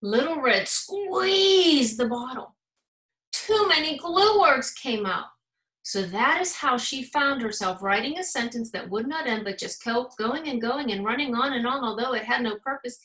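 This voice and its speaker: American woman, bright higher voice, expressive children's read-aloud, emphatic storytelling, roomy echo. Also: a little slow. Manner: animated, emphatic storytelling with dramatic gasps. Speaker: school principal reading aloud to children. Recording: roomy, echoing sound.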